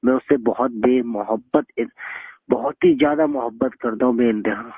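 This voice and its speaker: A man's voice: medium pitch, huge pitch swings, moderate pace, creaky, husky, no room sound. Indignant young man on a tinny phone line. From northern India. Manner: indignant, scolding, blunt. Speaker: phone call recipient. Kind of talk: argumentative phone conversation. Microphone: telephone.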